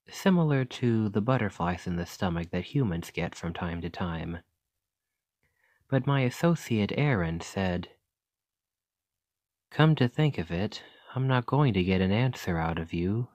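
A man playing an earnest, chatty scholar in an ASMR roleplay, speaking gently and hesitantly as he rambles and interrupts himself. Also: lowish voice, no echo. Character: earnest, rambling male scholar gently reassuring a captive creature.

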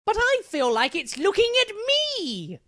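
A man voicing an excitable, naive and easily baffled cartoon character who stammers and exclaims in comedy dialogue. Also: very high voice, unhurried, full character voice. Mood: naive, curious.

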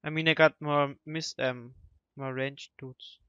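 A young man talks through a strategy game in a casual way, restarting his sentences and grumbling mildly. His voice is clean and smooth, at a middling pitch. The headset sound is very dry and slightly dull. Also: little pitch movement, a little slow, pushed and bright, dry recording. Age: young adult. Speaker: gamer commentating co-op strategy play. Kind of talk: casual gameplay chat. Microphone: headset microphone.

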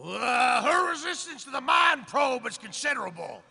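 A man voicing a blustering, gravelly cartoon hothead as a dark lord. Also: extremely high pitch, very animated, moderate pace, noisy background, heavily acted.

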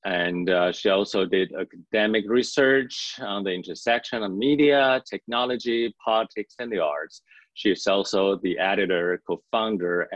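A young man moderating an online panel, introducing guests in a measured, formal way with small fillers. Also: sits low, no room sound. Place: indoor home office on a video call. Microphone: video call. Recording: dull video call audio.

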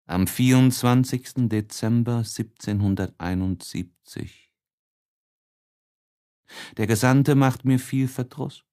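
A man reading literary prose as an audiobook narrator, expressively and with deliberate, measured phrasing. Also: medium pitch, dark tone.

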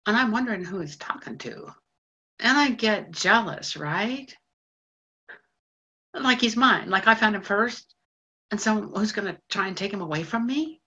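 Woman doing a lonely janitor lady monologue, blunt, swears a lot, bright edgy voice. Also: mid-range voice, expressive, breathy edge, muffled recording, full character voice. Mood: yearning. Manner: blunt, swearing, working-class, halting. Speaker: actor in a monologue. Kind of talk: stage monologue.

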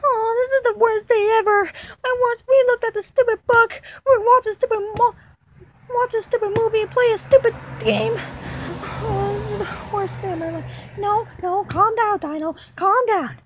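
A tiny lost boy dinosaur, voiced by a woman, squeaks in a high, bright voice. He is weepy and whiny, and the sound is close and dry, like a homemade toy skit. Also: moderate pace, dry recording. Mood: upset.

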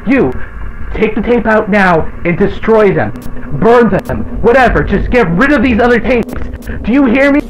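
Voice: mid-range voice, animated, normal speed, slight background noise, close to an everyday voice, poor audio. An actor voicing a timid, worried talking sheep, a hesitant, bleating sidekick in a children's cartoon inside a horror game. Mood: desperate, pleading.

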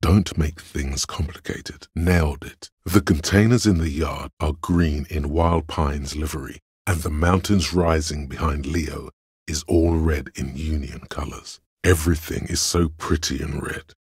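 A man voicing a detective's cool, cerebral inner voice of logic in a video game, measured, condescending and dryly analytical, with a gravelly, husky tone. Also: extremely low pitch, fairly even intonation, dry recording, studio quality, exaggerated voice. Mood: cool, deductive. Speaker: voice actor. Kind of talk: scripted game narration.

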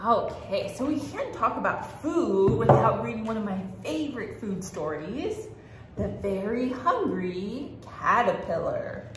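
A woman reads to young children as a storytime host, with a very animated, swooping delivery. She takes her time over phrases and speaks to the children directly with expressive exclamations. The room is strongly echoey. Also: on the high side, a little slow. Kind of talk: children's read-aloud storytime. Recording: echoey room with faint noise.

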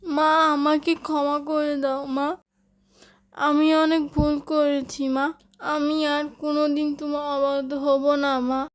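Cartoon teen daughter, played by a woman: high voice, headstrong, whiny, melodramatic. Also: monotone, a little slow. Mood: tearful, repentant. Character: headstrong, dramatic teenage girl, cartoon daughter.

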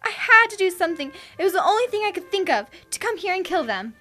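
A woman's voice: very high voice, very animated, quick pace, bright, forceful tone, soft background music, exaggerated voice. An actress playing a frantic, headstrong young woman in 1940s radio drama, breathless and impassioned. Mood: distraught.

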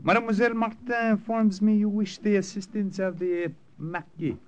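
An actor playing a smooth, nervous French impostor in the resistance, with a French accent, in 1940s radio style. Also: male voice, high voice, steady tone, normal speed, natural voice. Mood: courteous. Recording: muffled old radio recording.

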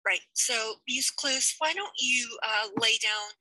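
A woman's voice: on the high side, slow pace, bright, forceful tone, dry recording, low-quality recording. A young woman talking casually over a headset on a video call from a small room at home, rambling and hesitant with many fillers. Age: young adult. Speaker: let's play project organizer. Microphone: headset mic on video call.

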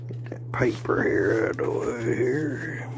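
A man, hoarse and losing his voice, chatting in a folksy, joking way while vlogging on a phone held at arm's length. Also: medium pitch, measured pace, whispered. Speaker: railfan vlogger. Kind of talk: casual folksy vlog. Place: outdoors by a car, then indoors at home.

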